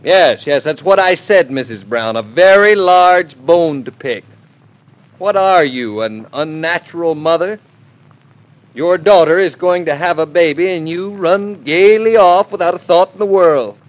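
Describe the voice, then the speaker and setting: A man's voice: mid-range voice, lively intonation, measured pace, low-quality recording. An actor playing a young American husband and expectant father in 1940s radio drama style, affectionate and mock-stern, stammering a little. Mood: blustering, mock-indignant.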